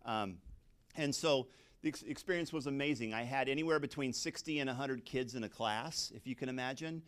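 A man's voice: medium pitch, fairly quick, fairly bright tone. A middle-aged man from suburban America with an American accent, an English teacher telling personal stories in a talk, conversationally and with frequent asides. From suburban United States. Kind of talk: personal storytelling in a talk to an audience.

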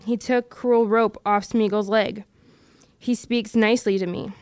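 A woman's voice: medium pitch, fairly even intonation, a little slow, no room sound, clean studio sound, close to an everyday voice. A woman voicing a wretched, split-minded male creature who wheedles and argues with himself in an audiobook reading. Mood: wheedling. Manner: wheedling, hissing self-argument.

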